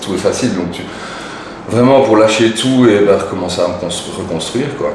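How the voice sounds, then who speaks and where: A man in his forties with a Belgian French accent, a guest talking casually in an interview, relaxed and hesitant with many fillers. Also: lowish voice, fairly quick. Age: 40s. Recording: echoey room, noisy, mic at a distance.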